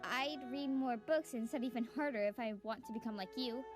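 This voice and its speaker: A woman playing an anxious, studious young unicorn pony in a fan-made cartoon audio drama, with an excitable, flustered manner. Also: fairly high pitch, a little fast, music in the background, natural voice. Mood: polite, curious.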